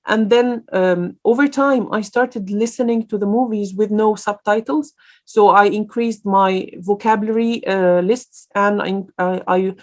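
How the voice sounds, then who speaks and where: Woman lecturing online, lots of uhs and restarts, easygoing q&a tone. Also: medium pitch. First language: Arabic. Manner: informal and hesitant, many fillers and restarts.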